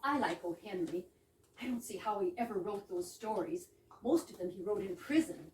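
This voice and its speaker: A woman's voice: mid-range voice, animated, normal speed, darkish tone, close to an everyday voice. A woman playing a flippant, playful young lady chatting from her bath in an amateur readers theater comedy. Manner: saucy, breezy, playful. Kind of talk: amateur readers theater of a 1920s comic play. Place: indoor readers theater stage, distant microphone. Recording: roomy distant stage recording, faint noise.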